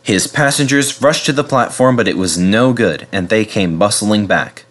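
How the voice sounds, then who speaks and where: A man narrating a children's storybook in an expressive, dramatic way. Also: fairly low pitch, normal speed. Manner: animated, dramatic reading. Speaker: narrator reading a children's book.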